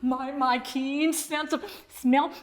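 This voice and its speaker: A woman's voice: sits high, steady tone, slow. A woman voicing an eager, good-hearted male rescue dog in a children's storybook, with a howling, exclaiming delivery. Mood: thrilled. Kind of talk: children's storybook character reading.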